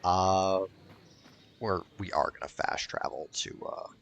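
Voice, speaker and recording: A man's voice: sits low, slow, creaky, fairly bright tone, a little hiss or hum. A man giving casual let's play commentary in a blunt, sweary way. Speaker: gaming video host.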